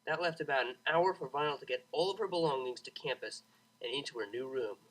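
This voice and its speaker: A man reading a scripted story as an expressive audiobook narrator, with a dramatic, wry delivery. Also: medium pitch, fast talker, poor audio. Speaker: narrator, fan fiction audiobook reader.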